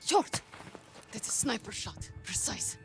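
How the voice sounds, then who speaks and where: A woman playing a fierce, vengeful young Russian sniper in a war game, terse and hard-edged, with a Russian accent. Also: slow pace. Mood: tense, grim. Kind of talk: cinematic war game acting. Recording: loud music behind the voice.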